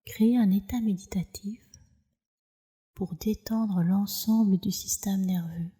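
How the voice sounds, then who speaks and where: Slow, very dark-voiced woman guiding meditation. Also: mid-range voice, fairly even intonation. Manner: soothing, soft, measured delivery with breathy phrase endings. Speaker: yoga nidra teacher, meditation guide. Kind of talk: guided relaxation meditation.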